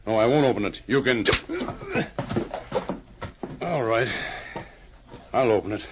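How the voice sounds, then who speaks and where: An actor playing a rattled, indignant small-town frontier banker with folksy talk in an old radio drama. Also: male voice, medium pitch, measured pace, close to an everyday voice. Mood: defiant. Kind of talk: 1940s radio drama acting. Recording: muffled, crackly old radio recording.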